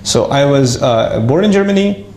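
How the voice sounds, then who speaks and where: A man casually telling his life story to an audience, lively and self-mocking, with frequent restarts. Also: medium pitch, moderate pace, roomy sound, a little hiss or hum.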